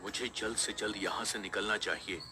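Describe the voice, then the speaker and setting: A man playing an anxious young ambulance driver in an animated horror story. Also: lowish voice, fairly quick, background noise, lightly acted. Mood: nervous.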